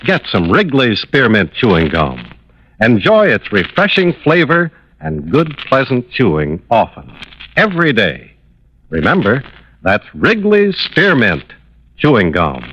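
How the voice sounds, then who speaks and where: A man's voice: medium pitch, fairly bright tone. A man announcing on 1950s radio, delivering sponsor copy and credits with polished, emphatic salesmanship. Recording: dull, slightly noisy old radio recording.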